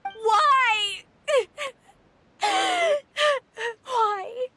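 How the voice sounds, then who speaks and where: A woman's voice: very high-pitched, sing-song, drawn-out words, bright, forceful tone, heavily acted. A woman voicing a defiant, wounded young girl in a video game, indignant and emotional. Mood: despairing.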